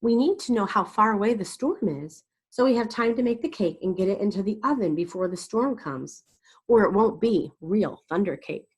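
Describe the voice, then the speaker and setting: Woman voicing a kindly, husky old storybook grandmother. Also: medium pitch, expressive, normal speed. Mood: instructing. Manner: coaxing, folksy, encouraging. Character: kindly, reassuring old Russian-born farm grandmother.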